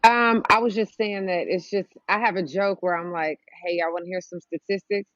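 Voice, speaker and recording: A woman's voice: on the low side, steady tone, normal speed, no room sound. A woman comedian chatting casually as a podcast guest, on slightly lo-fi audio. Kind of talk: casual chat. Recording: slightly dull, dry lo-fi audio.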